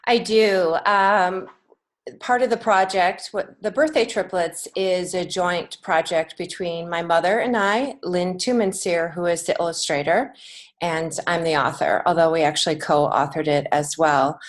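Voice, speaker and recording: A woman's voice: fairly low pitch, fairly even intonation, measured pace. A woman speaking as a guest in a conversational interview, explaining things earnestly. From United States. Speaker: podcast guest, children's author and creative writing teacher.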